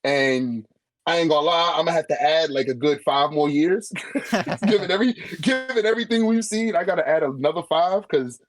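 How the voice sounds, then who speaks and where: A man chatting casually in an animated, slangy manner with heavy swearing, as a guest in a friendly debate. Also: a little high, brisk. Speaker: guest debating a manga tier list. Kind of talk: casual chat.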